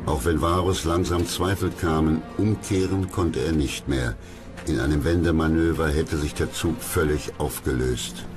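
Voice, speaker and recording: Very deep male documentary narrator. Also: fairly even intonation, normal speed. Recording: loud music under the voice. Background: loud background music.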